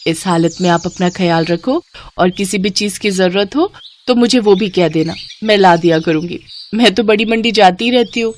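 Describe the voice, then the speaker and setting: A woman's voice: medium pitch, moderate pace, a little hiss or hum, close to an everyday voice. A woman voicing a kind, hardworking woman vegetable seller in a moral story, respectful and eager to help. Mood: respectful, helpful. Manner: respectful, reassuring, helpful. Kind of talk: Hindi moral story character dialogue.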